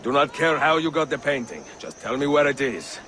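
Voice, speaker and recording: An actor playing a mature Italian assassin in a video game, gravelly and measured, with an Italian accent and a calm, gallant manner that can turn menacing. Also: male voice, mid-range voice, background noise, close to an everyday voice. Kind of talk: video game cutscene acting. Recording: orchestral game music behind.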